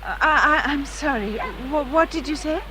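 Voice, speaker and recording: An actress playing a gentle, sensible young English gentlewoman in a period radio drama, polite and earnest. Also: high voice, normal speed, lightly acted. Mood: hesitant. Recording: clear outdoor ambient noise behind.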